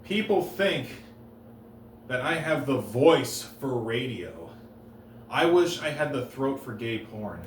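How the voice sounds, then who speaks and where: A man doing deadpan one-liner stand-up comedy on a stage, halting and restarting between jokes. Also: medium pitch, a little hiss or hum. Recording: roomy live room, heard from a distance.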